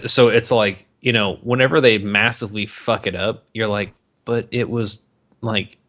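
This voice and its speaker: A man co-hosting a podcast in casual, joking banter, animated and quick to swear. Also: lowish voice. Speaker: podcast co-host.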